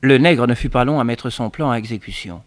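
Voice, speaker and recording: A man narrating a 1960s French radio serial in a dramatic, measured storytelling manner. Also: mid-range voice.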